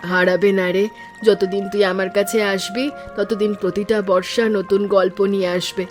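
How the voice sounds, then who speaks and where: A woman playing an affectionate middle-aged village aunt in an animated story, speaking tenderly with homely, fond scolding. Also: medium pitch, moderate pace, faint music behind, lightly acted.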